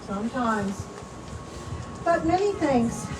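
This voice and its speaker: A woman with a slightly high voice hosts a live event, making informal announcements at a slow, halting pace. She sounds far from the mic, outdoors. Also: big pitch swings. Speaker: event host. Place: outdoors at an animal ranch. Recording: roomy, distant mic, clear background noise.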